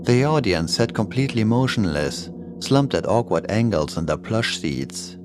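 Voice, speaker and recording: A man's voice: fairly low pitch, expressive, moderate pace, background noise. A man reading a scripted horror story in a dramatic, ominous way as its narrator. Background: creepy music and sound effects.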